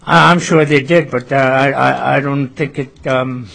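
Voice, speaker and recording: A man from Alaska testifying at a legislative hearing, earnest and full of pauses and fillers. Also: medium pitch, fairly even intonation, creaky, fairly bright tone.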